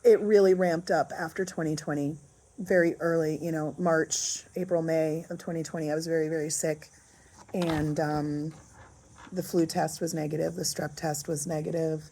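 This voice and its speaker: Low, gravelly, hoarse-voiced woman. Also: little pitch movement, mellow, low-quality recording. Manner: unhurried, thoughtful, pauses often to find words. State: raspy, hoarse voice after illness. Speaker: vlogger. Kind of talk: casual diary-style vlog.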